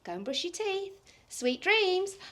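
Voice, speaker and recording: A woman's voice: very high voice, sing-song, unhurried, a bit bright. A middle-aged woman, reading a bedtime story expressively for young children. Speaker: primary school storyteller.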